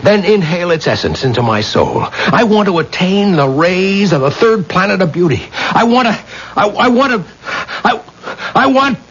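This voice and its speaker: Obsessed young male religious student: highish, husky, swooping delivery, fervent and bitter, old radio drama. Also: sing-song, moderate pace, a bit bright, heavily acted. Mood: feverish yearning. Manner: fervent, theatrical. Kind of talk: 1970s radio drama acting. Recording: dull, muffled 1970s radio recording.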